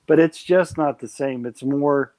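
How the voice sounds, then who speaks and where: A man's voice: fairly high pitch, a little slow, a little mellow. A man hosting a late-night live stream, chatting casually and rambling enthusiastically with many restarts. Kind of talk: casual chat.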